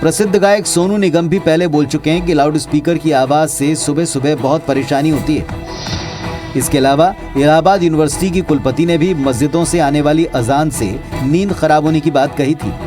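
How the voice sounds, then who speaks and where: A man's voice: a little high, moderate pace. A man with an Indian accent narrating a scripted news report as a reporter. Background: music bed.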